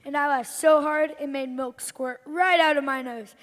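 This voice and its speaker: In a hall with long reverb, a woman plays a goofy boyish sidekick. The voice is high, with a curious comic-duo manner for a stage play. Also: steady tone, moderate pace, fairly bright tone.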